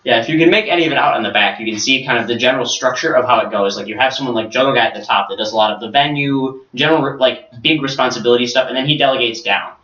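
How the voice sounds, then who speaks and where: A man co-hosting a casual podcast, setting up topics and adding quick remarks. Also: medium pitch, sharp tone. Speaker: podcast co-host. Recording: roomy, distant, dull mic.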